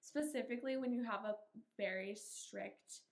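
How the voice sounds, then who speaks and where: A young woman hosting a casual podcast, her voice sitting a little on the high side. She talks loosely and pokes fun at herself, with laughter breaking into her sentences. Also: normal speed, creaky. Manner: chatty, self-deprecating, laughs through her sentences. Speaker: podcast host. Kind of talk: casual chat.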